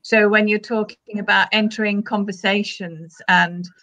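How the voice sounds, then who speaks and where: A woman's voice: medium pitch, fairly even intonation, normal speed, sharp tone. A woman academic speaking tentatively over a poor video call connection. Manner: tentative, hedging. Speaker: author being interviewed. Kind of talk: academic interview answer. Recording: muffled video call audio.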